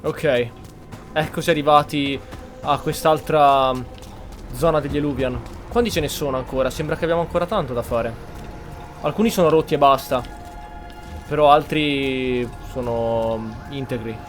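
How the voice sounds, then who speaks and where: A man's voice: mid-range voice, a little fast, soft background music. A man with an Italian accent giving casual roleplay commentary as a gaming streamer.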